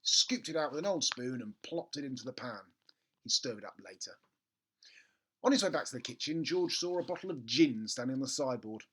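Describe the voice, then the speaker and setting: A man with a middle-pitched voice reads a children's storybook aloud. His delivery is expressive and theatrical, leaning on key words and pausing for comic effect. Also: husky. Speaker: storybook reader. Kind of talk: expressive children's book narration.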